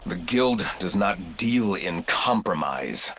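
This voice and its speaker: An actor playing a ruthless, arrogant corporate executive turned combat operator in a video game, speaking in clipped, commanding, coolly condescending lines. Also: male voice, low voice, animated, slow, a bit bright, slight background noise, full character voice. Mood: stern, disdainful. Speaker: playable military shooter operator.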